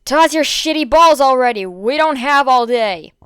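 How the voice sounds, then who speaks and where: A woman voicing an explosive, impatient, angry teenage boy in a story reading, shouting and growling. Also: high voice, animated, moderate pace, smooth, dry recording, studio quality. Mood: furious, impatient.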